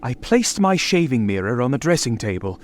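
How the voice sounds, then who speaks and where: An actor playing an anxious, imprisoned gentleman recounting horrors in a dramatic vintage radio style. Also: medium pitch, sing-song, moderate pace, lightly acted. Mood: tense, haunted. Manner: dramatic, haunted, emphatic. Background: dramatic music.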